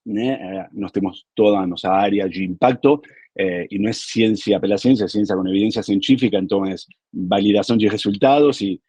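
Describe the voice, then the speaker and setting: A man from Argentina with an Argentine accent, speaking as a panelist in a relaxed, explanatory way over a video call, with frequent filler hesitations. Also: lowish voice, brisk, husky, no echo. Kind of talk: panel talk.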